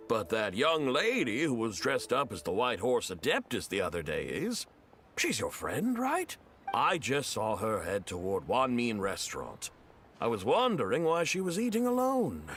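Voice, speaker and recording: A man's voice: fairly low pitch, wildly swooping pitch, a little slow, a bit bright, heavily acted. A man voicing a chatty, self-satisfied townsman in a video game, with theatrical emphasis. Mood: helpful. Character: chatty, self-satisfied townsman who fancies himself wiser. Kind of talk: video game character acting. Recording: game music behind.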